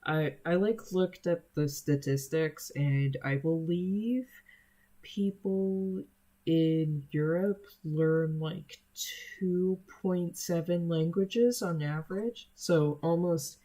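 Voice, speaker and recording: A young adult streamer, a native Spanish speaker from the United States, chatting casually with viewers in an unhurried, hesitant way with many fillers. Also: mid-range voice, warm tone.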